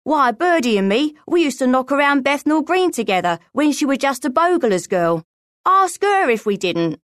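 A woman's voice: high voice, big pitch swings, normal speed, a bit bright, no echo, studio quality, voice pushed up. A woman voicing a scruffy, eager young street boy in a storybook, pleading in slangy street talk.

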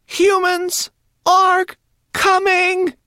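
Shrill storybook rat, female, acted out by a man, high above his own voice, swooping pitch, scolding, sarcastic. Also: very animated, moderate pace, no room sound, studio quality. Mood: alarmed, urgent. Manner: emphatic, scolding.

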